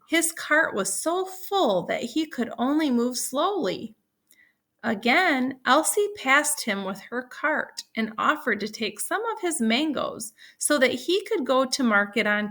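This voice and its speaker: A woman teaching young children, reading a story aloud and explaining it in a deliberate, instructional way. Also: fairly high pitch, bright, forceful tone.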